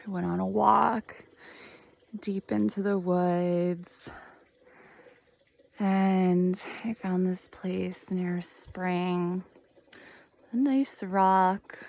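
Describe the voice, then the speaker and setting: A woman in her thirties guides a meditation with a heavily creaky voice. She speaks extremely slowly, leaving long pauses, and keeps a gentle, earnest tone with little rise and fall in pitch. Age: 30s. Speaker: guided meditation host, healer. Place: outdoors in the woods by a spring.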